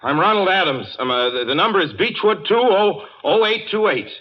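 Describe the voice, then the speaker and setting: A man's voice: high-pitched, expressive, a little fast. An actor playing a haunted, frightened young man in a 1940s radio drama, dramatic and nervous in manner. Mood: anxious, flustered. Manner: brooding, dramatic, breaking into nervous stammering. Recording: muffled old radio recording with music.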